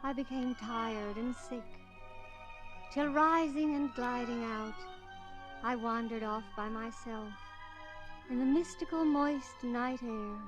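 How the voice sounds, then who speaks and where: A woman acting in a vintage radio play, reciting verse in a measured, dramatic manner. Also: a little high, muffled recording. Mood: solemn, wistful. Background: music.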